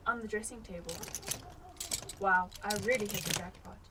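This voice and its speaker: A woman's voice: mid-range voice, normal speed, natural voice. A woman acting a nervous, greedy burglar muttering to herself in a radio drama. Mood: nervous, furtive. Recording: distant mic with faint background noise.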